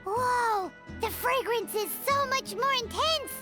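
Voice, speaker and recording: A woman voicing a tiny childlike fairy companion in a video game, excitable and brash. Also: very high voice, slow pace, faint music behind, full character voice. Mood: puzzled, alarmed.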